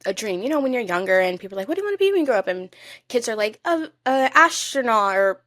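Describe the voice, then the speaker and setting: A woman's voice: fairly high pitch, big pitch swings, quick pace, fairly bright tone, dry recording, clean studio sound. A young woman co-hosting a podcast in casual chat, talking in a gushing, emphatic fan style. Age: young adult. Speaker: podcast co-host.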